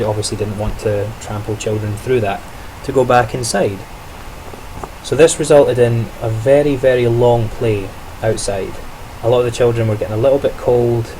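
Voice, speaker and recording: A young man records a casual video diary, his voice low and fairly monotone as he reflects on the day with small laughs and restarts. An air-conditioning hum runs steadily behind him in the quiet indoor room. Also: low-quality recording. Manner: chatty, self-correcting, reflective, laughs lightly. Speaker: trainee teacher on placement.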